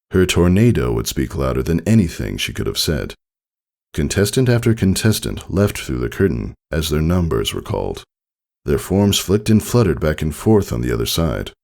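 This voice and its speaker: Very deep, creaky man narrating a story. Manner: expressive, deliberate storytelling. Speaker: narrator reading fan fiction. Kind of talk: dramatic scripted narration.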